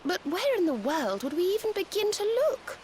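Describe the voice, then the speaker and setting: A woman's voice: extremely high pitch, very animated, normal speed, a little mellow, slight background noise. A woman voicing an earnest, curious young female adventurer in a video game. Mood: curious, puzzled. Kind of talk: video game cutscene acting.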